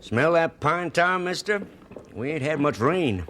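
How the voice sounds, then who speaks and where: An actor playing a folksy, talkative American wagon driver in a radio drama, with clipped rustic speech. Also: male voice, fairly high pitch, expressive, a little slow, fairly bright tone, a little hiss or hum. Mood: chatty. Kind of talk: old radio drama acting.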